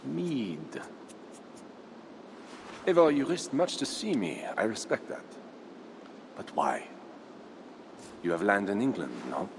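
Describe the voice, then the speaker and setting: A man acting a proud, shrewd Frankish count in a video game, speaking formally and with pointed, measured questions. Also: on the low side, sing-song, slight background noise, exaggerated voice. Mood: guarded, sardonic. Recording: reverberant hall, faint background ambience.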